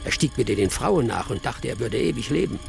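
An actor playing a village hunter who tells a tale in a reflective, deliberate way, in a radio drama. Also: male voice, mid-range voice, lively intonation, creaky, music in the background. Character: village hunter telling a tale, measured and sly. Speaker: village storyteller. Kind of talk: audio drama storytelling.

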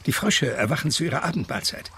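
An actor playing a refined, ailing gentleman in his late fifties, reminiscing in a cultivated, wordy way in a German radio play. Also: a little high, expressive, fast, a little hiss or hum. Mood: nostalgic. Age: middle-aged.

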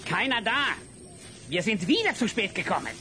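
A man's voice: high voice, big pitch swings, moderate pace, sharp tone, faint music behind, full character voice. A man playing an irritable, fanatical vampire-hunting cemetery warden in a German radio play, grumbling and melodramatic. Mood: irritated, scolding.

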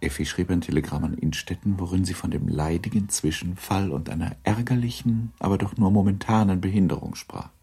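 A man narrating a literary radio drama from a script, with a husky voice. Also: deep voice, fairly quick, few pauses, warm tone, full character voice.

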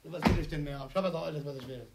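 A man's voice: a little high, racing, very noisy. A man telling a casual anecdote in a boastful, colloquial way. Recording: distant field recording with music behind.

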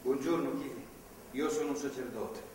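An actor playing a fervent, controlling middle-aged priest in a stage drama, speaking in a measured, preachy, paternalistic way. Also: male voice, medium pitch, a little mellow, close to an everyday voice. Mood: quiet, paternal. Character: fervent, controlling anti-abortion priest holding a woman captive. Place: theatre stage. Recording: echoey theatre hall, distant mic, faint noise.

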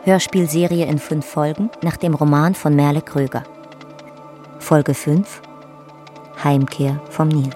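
An actress as the narrator of a historical radio drama, reading a chronicle in a sober, measured documentary manner. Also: female voice, low-pitched, steady tone, mellow, soft background music.

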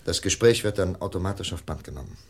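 Deep-voiced 1950s radio investigator. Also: male voice, animated. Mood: methodical, official. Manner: measured, official, precise questioning and instructing. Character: composed, methodical male FBI investigator. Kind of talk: 1950s German radio crime drama acting.

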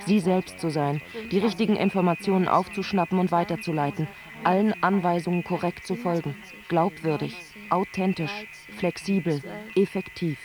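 Guy doing urgent radio drama narrator, pretty high voice, rattles off staccato lists, music behind. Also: normal speed, natural voice. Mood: driven. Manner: staccato, listing. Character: breathless narrator reciting film script directions. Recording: radio play with music underneath.

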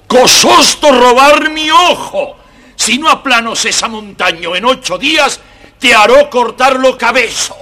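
A man's voice: very high voice, expressive, unhurried, long pauses, sharp tone, heavily acted. A man voicing a silly, threatening king in a children's story, pompously garbling words by forcing one vowel into them. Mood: angry threat. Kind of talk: recorded children's storybook dramatization.

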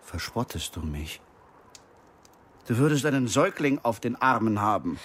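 An actor playing a stern older head of a farm household in a German radio drama, probing in deliberate, formal diction. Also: male voice, medium pitch, big pitch swings, fairly bright tone, slightly rough. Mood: indignant.

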